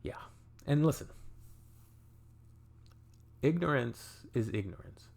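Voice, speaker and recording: A man's voice: medium pitch, lively intonation, slow pace, vocal fry, darkish tone, breathy edge. A young adult man from Canada hosting a reaction video, chatting in a wry, think-aloud way. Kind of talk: casual reaction commentary.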